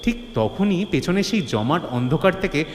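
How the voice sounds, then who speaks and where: A man's voice: a little high, animated, normal speed. A man narrating a scripted horror-comedy story in a theatrical, suspenseful style. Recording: reverberant, faint ambient noise.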